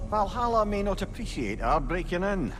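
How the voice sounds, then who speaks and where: A man's voice: high-pitched, big pitch swings, normal speed, a bit bright. A man playing a witty, candid older companion and advisor in a video game, talkative and wry. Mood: wry, curious. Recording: clearly noisy game ambience behind. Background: game ambience.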